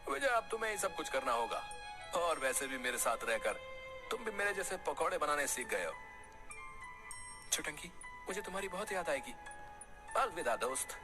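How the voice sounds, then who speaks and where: A man's voice: fairly high pitch, sing-song, normal speed, pauses often, music in the background. A man playing an emotional village character in a cartoon moral tale. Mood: fond farewell. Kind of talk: dramatic Hindi cartoon story acting.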